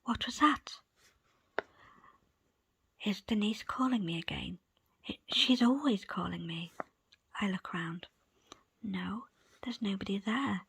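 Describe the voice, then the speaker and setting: A woman reading a book aloud as ASMR in a soft, breathy, unvoiced whisper, with deliberate pauses between short phrases. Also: medium pitch. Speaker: audiobook reader. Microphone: close, dry microphone.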